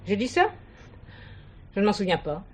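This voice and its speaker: A woman's voice: medium pitch, very animated, normal speed, darkish tone, slightly rough. An actress playing an offended, stubborn woman who serves customers, curt and guarded, in a theatrical drama. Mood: curt, evasive. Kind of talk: French stage or TV play acting.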